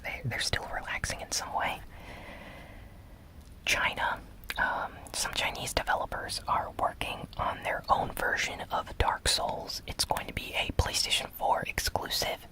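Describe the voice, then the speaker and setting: A host recapping gaming news in a breathy, unvoiced ASMR whisper, soft-spoken and relaxed. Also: low-quality recording.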